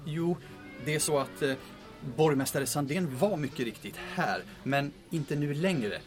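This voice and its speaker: A man playing an awkward, evasive hotel receptionist with a formal, halting manner in an audio drama. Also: fairly high pitch, animated, faint music behind, lightly acted. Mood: awkward, uneasy.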